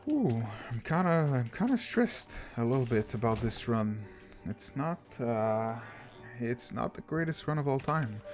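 Guy chatting slowly over his game, rambly and self-deprecating, game music behind. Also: mid-range voice, very animated, a little mellow. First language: French. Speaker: gaming channel host. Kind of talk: casual gameplay commentary.

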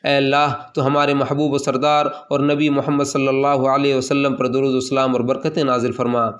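A man reading a translated Friday sermon aloud in a formal, steady recitation, addressing the listeners as servants of God. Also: mid-range voice, a little fast, dull audio.

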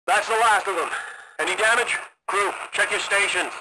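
An actor playing a steady, commanding World War II bomber captain, giving terse military orders in a war video game. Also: male voice, high voice, normal speed, a little hiss or hum, poor audio. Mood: firm command.

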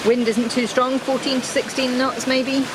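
A woman's voice: sits high, flat delivery, normal speed. A woman chatting and teasing her partner while sailing. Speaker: vlogger, sailor. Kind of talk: casual chat. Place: on a sailboat at sea. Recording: loud wind and water noise.

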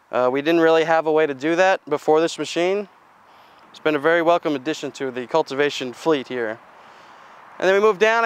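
A young farmer with a very crisp, smooth voice, a bit higher than most men's, recorded dry on a lapel mic outdoors. He talks plainly and haltingly, with fillers and restarts. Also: no echo. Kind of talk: casual equipment walkthrough. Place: outdoors in a farmyard.

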